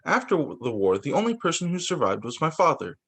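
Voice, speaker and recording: A man's voice: fairly high pitch, sing-song, brisk, a bit bright, breathy edge. A man reading a children's book aloud as a teacher, occasionally stumbling, repeating phrases and adding casual asides. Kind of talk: children's story time read-aloud.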